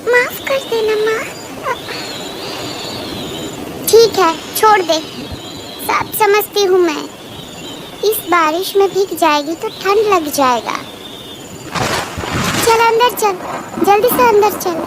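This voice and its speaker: A man voicing a village mother and her young daughters in a shrill, childlike cartoon story voice. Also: expressive, slow, long pauses, heavily acted. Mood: motherly, eager. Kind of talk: cartoon horror story dialogue. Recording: clear background noise behind.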